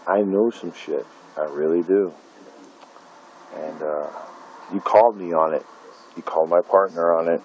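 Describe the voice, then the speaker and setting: Middle-aged man, very low voice, bitter profane rant to his phone camera, faint hiss. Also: measured pace, pauses often, a little mellow, low-quality recording. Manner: bitter, profane, repeating himself with many fillers. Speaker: independent filmmaker. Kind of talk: angry personal vlog rant. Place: dim room at night, indoors. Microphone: phone camera held close.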